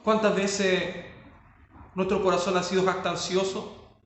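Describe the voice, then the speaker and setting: Male pastor, high voice, emphatic sermon delivery, heavy room echo. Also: little pitch movement, a little slow, slight background noise. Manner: preaching with rising emphasis and rhetorical questions.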